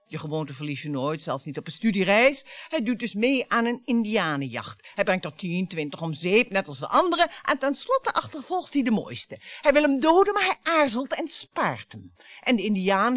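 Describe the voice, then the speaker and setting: A woman's voice: mid-range voice, no room sound, natural voice. A woman in a Dutch radio play acting a bitter, sarcastic wife who needles her husband in a theatrical, storytelling way.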